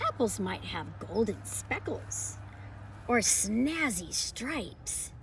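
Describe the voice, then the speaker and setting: Expressive woman teaching and reading to children. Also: mid-range voice, slow, a little hiss or hum, rough recording. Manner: expressive, emphatic storytelling to young children. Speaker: homeschool teacher hosting a read-aloud. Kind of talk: children's picture book read-aloud and lesson presenting.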